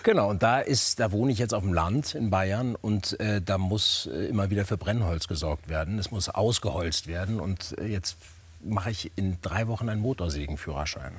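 A man's voice: low-pitched, moderate pace, breathy edge. A man from Germany, a guest actor, explaining his hobby earnestly with many hesitations. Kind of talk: casual interview answers.

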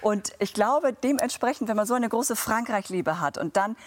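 A woman's voice: fairly high pitch, expressive, normal speed. A woman hosting an interview, encouraging her guest and helping finish his sentences. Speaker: interview host. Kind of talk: radio interview.

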